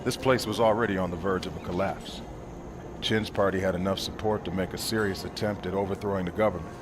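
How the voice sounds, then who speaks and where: An actor playing a seasoned, weary soldier in a video game, speaking in a wry, matter-of-fact way. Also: male voice, low-pitched, fairly even intonation, quick pace, close to an everyday voice. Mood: grim, matter-of-fact. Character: seasoned, weary male soldier telling grim past missions. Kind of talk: video game cutscene acting. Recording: loud vehicle and outdoor noise behind.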